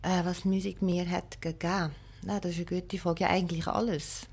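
A woman's voice: on the low side, level tone, moderate pace, creaky, darkish tone, breathy edge. A woman speaks as a guest in a radio interview. She is reflective and matter-of-fact, talking in a conversational style. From Belgium and Luxembourg. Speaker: musician interview guest, piano and singing teacher.

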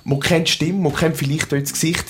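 A man's voice: fairly high pitch, fairly even intonation, a little fast, noisy background. A man with a Swiss German accent hosting an interview, chatty with loose phrasing. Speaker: radio show host.

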